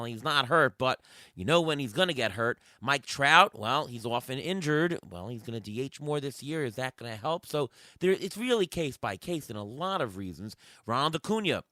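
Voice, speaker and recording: A hoarse man hosting a sports podcast, firing off questions and thinking aloud in casual chat. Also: mid-range voice, pushed and bright, no room sound. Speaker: podcast host.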